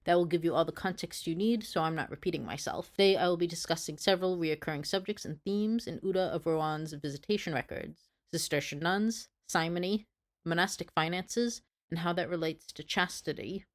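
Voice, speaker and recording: Low-voiced woman narrating history. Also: fairly quick. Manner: earnest, apologetic asides. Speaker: history video creator. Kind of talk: scripted educational narration.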